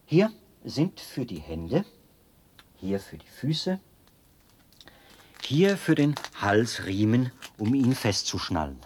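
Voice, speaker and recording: An actor in a radio play playing a devoted, zealous penal colony officer who explains things in a talkative, pedantic way. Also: male voice, medium pitch, very animated, slow, pauses often, darkish tone, slightly rough, heavily acted. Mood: pedantic, keen. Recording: noisy binaural radio play recording with clicks.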